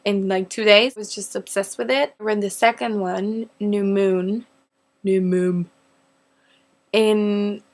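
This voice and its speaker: A woman in her twenties who grew up in northeast Mexico with Spanish as her first language. She answers questions as a vlogger in a casual, rambling style, often poking fun at herself, with a medium-pitched voice. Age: 20s. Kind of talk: casual chat to camera.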